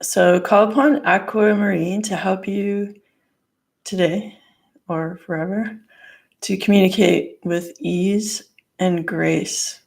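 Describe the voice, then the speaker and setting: A hoarse woman with a gravelly voice doing a casual tarot and crystal card reading in her living room, rambling with lots of fillers. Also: medium pitch, steady tone, slow, a little mellow. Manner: rambling, lots of "like" and "you know".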